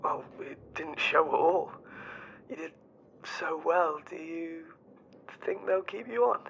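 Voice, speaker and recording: Young affectionate male soldier, gravelly edge, lo-fi phone filter with faint noise, audio drama. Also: on the high side, slow, a bit bright, exaggerated voice. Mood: tender, proud. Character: affectionate young soldier boyfriend on a call, gentle. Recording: dull, noisy call line.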